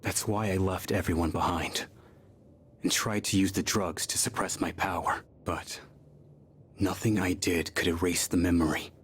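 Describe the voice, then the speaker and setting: An actor playing a gruff, guilt-ridden young tough guy in a video game, with blunt and weary speech. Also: low voice, steady tone, normal speed, fairly bright tone, a little hiss or hum. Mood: weary, resigned. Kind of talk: English video game voice acting. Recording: music under the voice.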